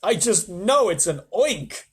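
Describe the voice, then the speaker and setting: A man voicing a panicky, excitable male head of a fire department. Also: high voice, takes it slowly, breathy edge, voice pushed up, heavily acted. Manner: excitable, shouting.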